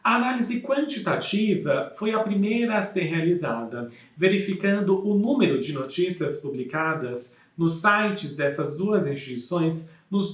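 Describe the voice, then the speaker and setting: Higher-voiced Brazilian man presenting research, echoey room. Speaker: academic researcher presenting a paper. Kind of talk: formal scholarly presentation.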